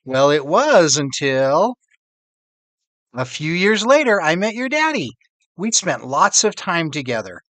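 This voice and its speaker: Man reading as a tender, expressive storybook mother. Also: on the high side, no room sound. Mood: fond, joyful. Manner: drawn-out, emphatic, tender storytelling. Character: loving mother fondly recalling happy times to her child.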